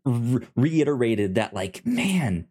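A man hosting a casual review podcast, informal and halting, stammering over the starts of words. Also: medium pitch, big pitch swings, a little mellow. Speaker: podcast host.

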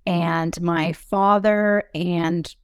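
A woman's voice: on the low side, steady tone, drawn-out words, clean tone, dry recording, studio quality. Middle-aged woman telling her story. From Newfoundland, Canada. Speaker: podcast host as interview guest. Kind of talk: personal testimony in conversation.

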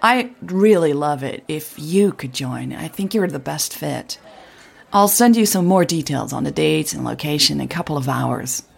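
Audio drama role: smiling, salesy male PR contact acted by a woman, husky and creaky. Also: sits low, lively intonation, normal speed, heavily acted. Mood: beaming. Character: eager, smiling male tech marketing contact, persuasive.

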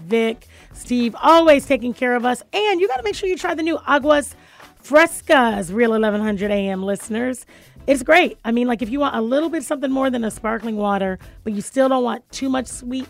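Raspy woman radio host in her thirties, fairly high voice, lively chatty delivery, studio mic. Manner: lively, laughing banter. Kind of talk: talk radio hosting.

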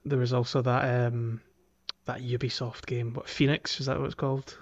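A man co-hosting a podcast in casual chat, speaking in a hesitant, halting way. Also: medium pitch, flat delivery. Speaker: podcast co-host. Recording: dull lo-fi microphone audio.

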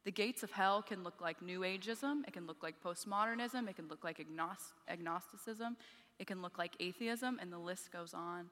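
A woman cohosting a live podcast, speaking in a measured way and affirming her guest often. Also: fairly low pitch, a bit bright. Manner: measured, affirming, quick "yeah"s. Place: conference stage with hall. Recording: live hall reverb.

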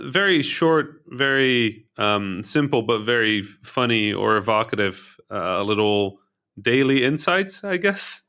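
A man's voice: fairly low pitch, bright, forceful tone, clear, resonant tone. A man from Egypt hosting a casual interview chat, often hesitating with ums and restarts. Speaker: podcast host.

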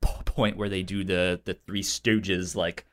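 A man's voice: low-pitched, level tone, unhurried, fairly bright tone. Man with a pronounced stutter, chatting casually. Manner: frequent stuttering, repeating sounds and syllables. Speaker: podcast co-host. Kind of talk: casual film discussion.

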